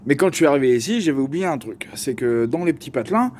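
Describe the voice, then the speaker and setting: A man's voice: mid-range voice, big pitch swings, quick pace, a little mellow, slight background noise. A young man with a Parisian accent telling a personal story to camera, slangy and joking, with lots of fillers. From Paris region. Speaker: vlogger.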